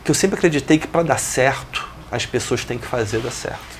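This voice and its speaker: A man in his forties from Brazil, a business owner talking through his career as an interview guest, in a steady, reflective way. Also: medium pitch, brisk, a loud crowd. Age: 40s. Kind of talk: interview, telling his life story.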